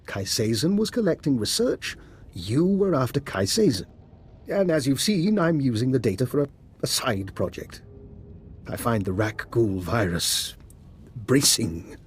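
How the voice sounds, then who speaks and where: A man acting a scheming scientist doctor in a video game, polite, formal and evasive, with a husky voice. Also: mid-range voice, sing-song, unhurried, slight background noise. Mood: coolly evasive. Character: smooth-talking, scheming male scientist doctor, coolly amused.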